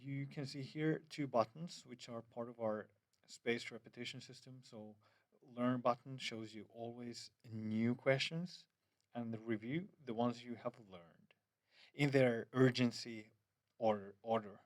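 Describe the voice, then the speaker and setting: Man, mid-pitched, hesitant app walkthrough, dry booth-like sound. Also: fairly even intonation, unhurried, no room sound. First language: Finnish. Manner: hesitant, many fillers and restarts, loose phrasing. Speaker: app developer. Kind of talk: screen-recorded app demo.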